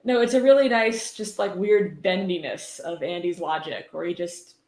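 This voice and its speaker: A woman's voice: on the low side, moderate pace, darkish tone. Woman livestream host in an echoey room. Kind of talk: casual livestream chat and book reading. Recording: roomy, echoing livestream audio.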